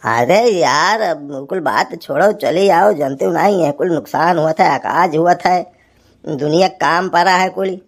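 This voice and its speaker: Woman acting low-voiced, grumbling Awadhi village husband. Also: measured pace. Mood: pleading, defensive. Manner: rambling, grumbling, colloquial. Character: harried, pleading village husband and uncle, grumbling and talkative. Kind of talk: cartoon comedy dialogue.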